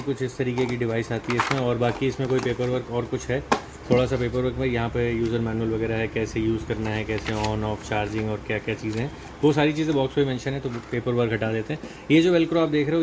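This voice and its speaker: A man's voice: medium pitch, noisy background. A man with an Indian accent giving a casual, explanatory product walkthrough, mixing in English technical terms. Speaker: product reviewer.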